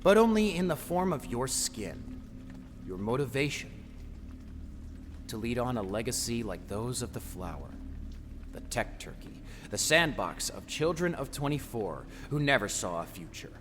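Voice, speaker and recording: An actor playing a menacing, taunting, grandiose tormentor in an audio drama, swinging between whispers and shouts. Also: male voice, mid-range voice, big pitch swings, measured pace, over music. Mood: cold, goading. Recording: long hall reverb, faint noise.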